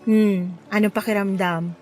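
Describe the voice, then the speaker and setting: An actress with a Filipino accent playing a friendly, playful young local woman in a radio drama, lively and coaxing. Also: mid-range voice, measured pace, faint music behind, natural voice. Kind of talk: Tagalog radio drama acting.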